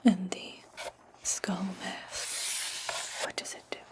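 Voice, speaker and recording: A woman playing a commanding witch in a whispered ASMR fantasy roleplay, speaking slowly and deliberately in a breathy whisper as she instructs her servant. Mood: quietly commanding.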